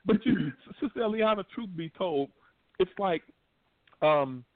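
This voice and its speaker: Halting man on a tinny phone line. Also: sits high, expressive, dry recording. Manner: halting, many fillers, laughs easily. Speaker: call-in participant. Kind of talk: casual talk-show chat.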